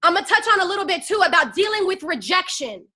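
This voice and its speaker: A young woman in her twenties with a very high, bright voice preaches a fiery motivational pep talk. She talks into a phone and keeps rallying her listeners with call-and-response. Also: very animated, fast talker. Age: 20s. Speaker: network marketing team leader.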